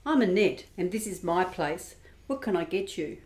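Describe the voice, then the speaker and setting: A woman's voice: on the low side, animated, moderate pace, a little mellow, close to an everyday voice. A woman playing a friendly, folksy bar owner who greets newcomers, in an amateur radio play. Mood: welcoming. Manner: folksy, welcoming. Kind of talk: amateur radio drama acting.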